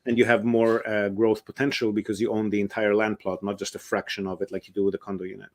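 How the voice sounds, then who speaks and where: A man's voice: on the low side, level tone, fast. A man, a real estate investment advisor, answers interview questions at length, explaining step by step with frequent ums and uhs.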